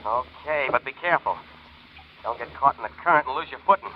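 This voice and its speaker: A man's voice: medium pitch, fast, lightly acted. An actor playing a treacherous male diving partner in a 1940s radio drama, sly and mocking. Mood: eager. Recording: tinny old radio with faint hiss.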